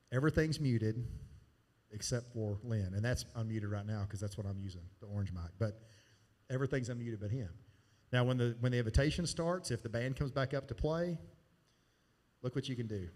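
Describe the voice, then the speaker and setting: A man walks a church team through its sound board in a loose, folksy way, explaining as he works the controls. His voice is mellow and dark, and it carries a long reverb from a live church sanctuary. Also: medium pitch. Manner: casual, folksy, talks while demonstrating. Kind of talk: hands-on sound board training.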